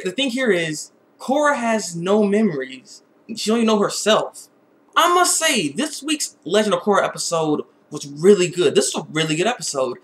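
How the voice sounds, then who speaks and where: A man giving a casual, opinionated episode review in an excitable, rambling way that often repeats words. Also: on the high side.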